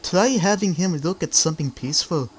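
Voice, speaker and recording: A man's voice: on the high side, fairly quick, a crowd in the background, close to an everyday voice. A man voicing a kindly, concerned male crane engine in a fan-dubbed cartoon. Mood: sympathetic. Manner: caring, advising. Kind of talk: fan-dub cartoon character acting. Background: background music.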